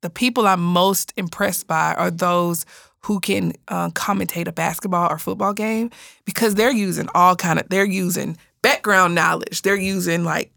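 Gravelly-voiced woman interviewer. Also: on the low side, steady tone, moderate pace, a bit bright, no echo, studio quality. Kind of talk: conversational interview. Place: small room.

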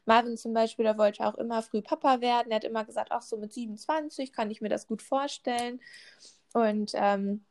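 A woman's voice: a little high, steady tone, fairly quick, a little mellow. A pregnant woman in her twenties in a casual chat, talking with lots of fillers and restarts. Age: 20s. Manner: chatty, many fillers and restarts.

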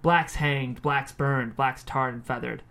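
A man's voice: medium pitch, steady tone, slow, pushed and bright. A man reading screenplay stage directions as scripted narration at a table read.

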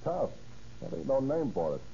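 An actor playing a cocky, bitter small-time gang boss with an American accent, talking tough and slangy in an old radio crime drama. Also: male voice, medium pitch, very animated, moderate pace, creaky, warm tone. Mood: bitter, scheming. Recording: dull, hissy old radio recording.

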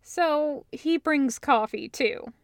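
A woman's voice: high-pitched, takes it slowly, dry recording, studio quality. A woman narrating a story as a reader of fan fiction audiobooks.

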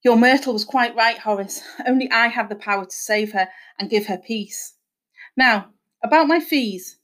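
Woman as a melodramatic fake spirit medium, high-voiced. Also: normal speed, fairly bright tone, lightly acted, poor audio. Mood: warning. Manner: melodramatic, sympathetic, pleading. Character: scheming female fake medium feigning sympathy in trance.

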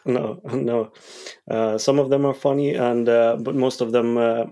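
A man's voice: medium pitch, flat delivery, unhurried, warm tone. A man talks easygoing and casual on a podcast, with a mid-range voice and short, laid-back answers. He keeps the chat light with the odd laugh.

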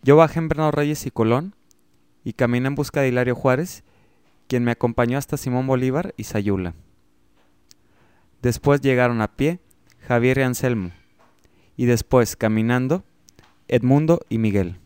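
Guy playing mexican guerrilla telling his account, smooth clear voice, matter of fact, radio drama. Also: medium pitch, moderate pace, long pauses, a little mellow, no room sound, close to an everyday voice. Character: male guerrilla member giving a matter-of-fact testimony.

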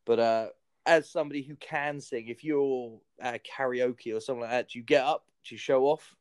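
A man's voice: medium pitch, steady tone, brisk, clean tone, no room sound. A man hosting a podcast, chatting in an easygoing way, telling anecdotes and laughing along. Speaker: podcast host. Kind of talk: casual chat.

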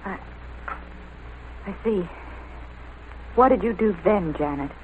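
Composed female interviewer, quietly questioning, vintage radio drama with faint noise and narrow sound. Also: mid-range voice, expressive, takes it slowly. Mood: quietly probing. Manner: restrained, questioning. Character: probing, composed female interviewer hearing a confession. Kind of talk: old-time radio drama acting. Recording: tinny, noisy vintage radio recording.

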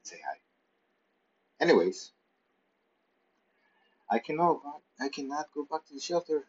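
A man tells a playful, meandering pet story in the first person. His pitch swings lively up and down. The recording sounds dull and muffled. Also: moderate pace. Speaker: pet owner narrating.

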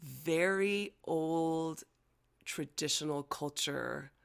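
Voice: mid-range voice, drawn-out words, gravelly, smooth. An American-born speaker whose first language was Chinese, chatting casually with many fillers and easy laughter. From United States. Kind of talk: casual conversation.

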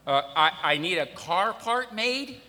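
Man as a high, stammering, flustered customer in a hall. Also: measured pace, full character voice. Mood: nervous. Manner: hesitant, stammering, excitable. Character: flustered, pleading, hapless male car owner. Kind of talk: live audio comedy acting. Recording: live stage, long hall reverb.

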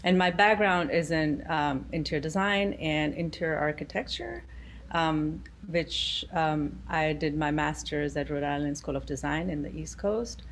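A woman's voice: sits low, measured pace, slight background noise. A middle-aged woman from India, answering interview questions thoughtfully over a video call with frequent ums. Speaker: guest.

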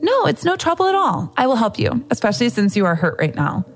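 A woman voicing a cheerful, eager, chatty teenage schoolgirl in an audiobook story, with an excitable, insistent manner. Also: high-pitched, fast talker, creaky, faint music behind, voice pushed up, full character voice. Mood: bubbly, eager.

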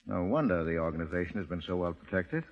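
Cocky, animated old-radio tough guy. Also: deep voice, fast. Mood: cool, confident. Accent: American. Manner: sardonic, self-assured, clipped. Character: cool, cocky male undercover cop posing as racketeer. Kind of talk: 1930s radio crime drama acting. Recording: muffled lo-fi 1930s radio recording.

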